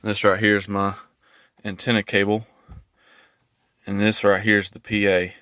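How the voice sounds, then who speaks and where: A man's voice: on the low side, little pitch movement, moderate pace, sharp tone, dry recording, studio quality. A man giving a casual, hands-on video walkthrough in a laid-back, folksy way. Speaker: hobbyist vlogger showing a truck install.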